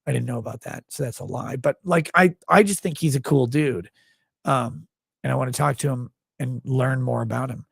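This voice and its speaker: A tired man with a gravelly voice, hosting a livestream and signing off in a rambling, blunt, profane way. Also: mid-range voice, normal speed, dry recording, studio quality. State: tired, low on sleep. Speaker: livestream host. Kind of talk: casual livestream sign-off chat.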